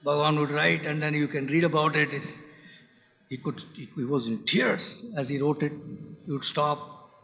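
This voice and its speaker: Bright-voiced man in a hall. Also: a little high, gravelly. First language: Tamil. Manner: reflective, halting, often drops to a soft hush. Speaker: speaker at a spiritual centre's founders day. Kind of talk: reflective spiritual talk. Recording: long reverb of a hall.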